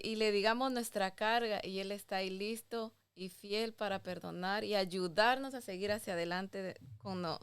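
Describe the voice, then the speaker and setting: Woman co-host, devotional, earnest, very clear smooth voice. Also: mid-range voice. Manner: earnest, inviting the listener to pray. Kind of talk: devotional Bible talk.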